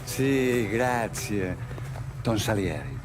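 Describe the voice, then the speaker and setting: An actor playing a polite Italian immigrant safecracker with an Italian accent in a video game. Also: male voice, lowish voice, animated, slow, music in the background. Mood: courteous. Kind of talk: cinematic video game acting.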